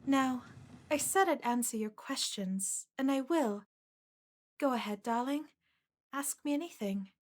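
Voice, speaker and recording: A woman voicing a lovestruck, clingy undead corpse bride in an ASMR audio roleplay, soft, earnest and pleading. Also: sits high, animated, unhurried, long pauses. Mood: relieved, tender.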